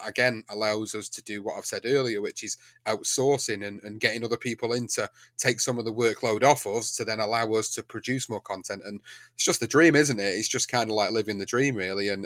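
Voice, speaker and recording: A man's voice: fairly low pitch, fairly bright tone, no room sound. A man with a Manchester accent, a podcast co-host, talking casually in an interview with lots of fillers and restarts. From England. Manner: rambling, many fillers and restarts.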